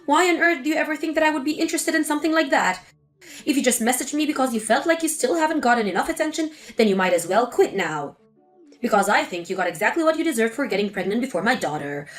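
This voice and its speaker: A woman's voice: sits high, expressive, fast, faint music behind. A woman voicing a spiteful, scolding older mother-in-law in a scripted text-story drama. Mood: scornful. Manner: scolding, contemptuous.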